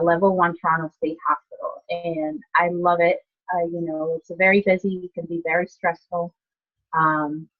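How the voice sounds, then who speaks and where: Low-voiced woman from Ecuador, muffled call audio. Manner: chatty, many "you know" and "like". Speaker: guest, nurse and healer. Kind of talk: conversational storytelling.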